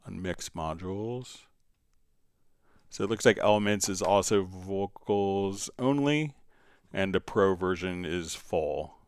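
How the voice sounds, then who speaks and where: A man hosting a live software tutorial, answering viewer questions casually and thinking aloud in a halting way as he demonstrates. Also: low-pitched, clean tone, no room sound, studio quality.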